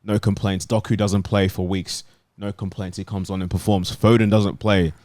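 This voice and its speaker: A man's voice: on the low side, level tone, normal speed, darkish tone, no echo, clean studio sound. A man chatting casually and giving his opinions as a panelist on a football talk show.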